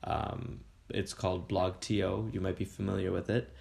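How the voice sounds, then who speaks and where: Gravelly low man, upbeat. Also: level tone, normal speed, a little mellow. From Canada. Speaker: guest, food blogger. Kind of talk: casual interview chat.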